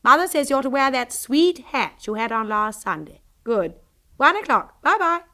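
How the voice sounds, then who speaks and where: A woman voicing an excitable young woman chattering brightly on the telephone. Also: high voice, normal speed, voice pushed up, exaggerated voice. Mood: chirpy.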